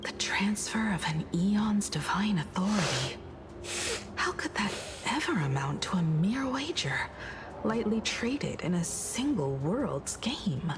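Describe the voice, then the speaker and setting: An actress playing a calm, knowledgeable woman in a game who lays out events in a measured way. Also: medium pitch, noisy background. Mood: explaining. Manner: measured, explanatory. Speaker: game character.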